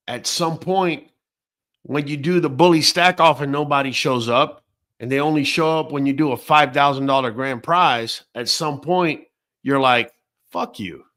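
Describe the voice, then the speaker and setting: A man's voice: on the high side, slow, pauses often, fairly bright tone. A man born in Venezuela, hosting a late-night talk show and giving blunt, profane opinions in a casual ranting way. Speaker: host.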